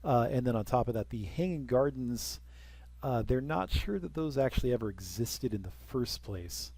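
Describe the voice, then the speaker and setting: A man's voice: medium pitch, lively intonation, normal speed, vocal fry, darkish tone. A young man casually commenting while playing a strategy game, rambling with self-deprecating jokes and asides. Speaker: let's play commentator.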